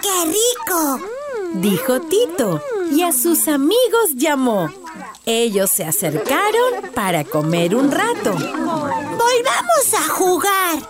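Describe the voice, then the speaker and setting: A woman narrating a rhyming children's story in a slow, sing-song, very expressive way. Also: sits high. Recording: narration over loud background music.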